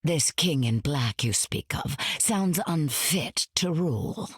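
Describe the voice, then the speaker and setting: Imperious, husky queen of the dead. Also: low voice, animated, slow pace, no echo, clean studio sound, exaggerated voice. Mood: haughty menace. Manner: grand, theatrical, condescending. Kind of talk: video game voice acting.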